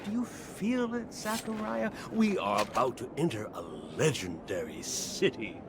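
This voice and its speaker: An actor playing a wary, grandiose travelling companion in a video game, speaking formally and theatrically. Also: male voice, medium pitch, very animated, unhurried. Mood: awed, wary. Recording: game dialogue over clear ambient noise.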